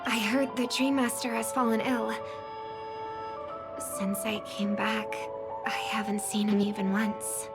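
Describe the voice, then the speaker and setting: A woman voicing a gentle, caring young sister in a video game, speaking in a soft, earnest, polite way. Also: mid-range voice, flat delivery, a little slow, lightly acted. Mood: concerned. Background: game music under the voice.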